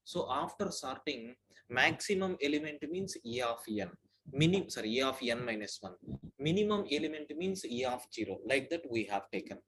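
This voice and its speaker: A man's voice: medium pitch, lively intonation, moderate pace, a bit bright, a little hiss or hum, rough recording. Man teaching step by step. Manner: addresses listeners as sir, step-by-step walkthrough. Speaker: programming instructor. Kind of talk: online class lecture. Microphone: own mic at a computer, online class.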